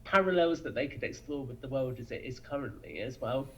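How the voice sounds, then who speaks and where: A man's voice: mid-range voice, fairly quick. A man speaking as a podcast guest in casual fan discussion, earnest and eager as he speculates, on a dull, lo-fi recording.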